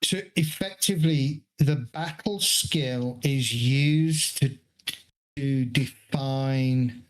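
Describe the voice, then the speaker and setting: A man running a tabletop game as game master over an online call, explaining rules in a measured way with pauses and fillers. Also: medium pitch, rough recording.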